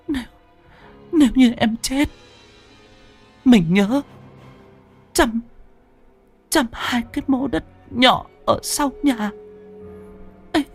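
A man voicing a timid young village wife who stammers softly. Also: very high voice, voice pushed up, heavily acted. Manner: faltering, stammering and soft.

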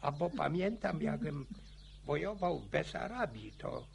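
An actor playing an old village grandfather in a radio play, spinning boastful war yarns with a gravelly, stammering, chuckling voice. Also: a little high, sing-song, a little slow, slight background noise, heavily acted. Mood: boastful reminiscing. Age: older. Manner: chuckling folksy yarn-spinning. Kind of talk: radio drama acting.

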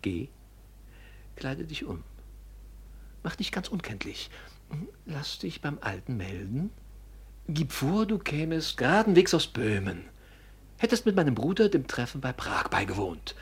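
An actor playing a treacherous young nobleman in a classic radio drama, with a husky, insinuating, theatrical delivery. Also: fairly high pitch, very animated, unhurried, long pauses, full character voice. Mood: sly, commanding. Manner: theatrical, insinuating, swinging from hushed to loud.

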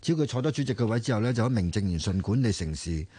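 Hesitant, husky-voiced honest man. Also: lowish voice, expressive, racing, warm tone, no echo. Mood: unsure, consulting. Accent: Hong Kong Cantonese. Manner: hesitant, deferential, mild. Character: honest, hesitant man fronting as company chairman. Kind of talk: Hong Kong radio drama acting.

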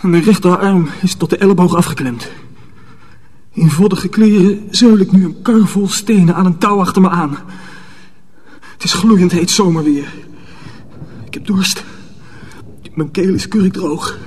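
Defiant high-voiced young apprentice. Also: male voice, measured pace, a little mellow, slightly rough. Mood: weary, suffering. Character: stubborn, defiant young male apprentice sorcerer. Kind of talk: radio drama acting.

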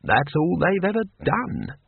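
Audiobook role: polite, unflappable male teacher, read by a man, highish lilting voice, tinny sound. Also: huge pitch swings, a little slow, gravelly, husky, no room sound, exaggerated voice. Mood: calm. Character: mild, polite, unflappable elderly male schoolteacher. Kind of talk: audiobook character voice.

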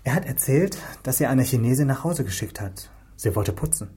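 A man's voice: mid-range voice, expressive, normal speed, mellow, slight background noise, lightly acted. A man playing a curious, cheeky helper in a police investigation, casual and wry, in a German radio crime drama. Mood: tentative, explaining.

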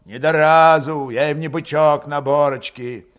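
A man voicing a stubborn, plain-spoken Cossack villager in an audiobook, speaking in a deliberate rustic drawl. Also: a little high, steady tone, smooth, natural voice. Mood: stubborn, doubtful. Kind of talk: audiobook character voice.

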